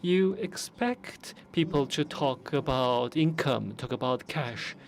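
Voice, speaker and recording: A man giving simultaneous interpretation of conference speeches, measured and pausing often to restart. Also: mid-range voice, expressive, a little hiss or hum. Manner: measured, with frequent pauses and restarts.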